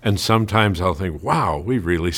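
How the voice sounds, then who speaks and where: A man's voice: sits low, animated, normal speed, fairly bright tone, breathy edge. An older man, a local theater actor, recalling his experiences in a casual interview.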